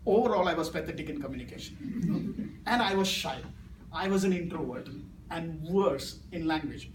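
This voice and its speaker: A middle-aged man with an Indian English accent, a native Malayalam speaker, tells stories to a club audience, moving between grave and playful. His voice sits fairly high. The recording is roomy and distant, with steady noise behind it. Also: normal speed. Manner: storytelling, swings from grave to playful. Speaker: guest speaker. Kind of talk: public speech at a club meeting. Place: meeting room with audience. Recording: echoey room from far off, noisy.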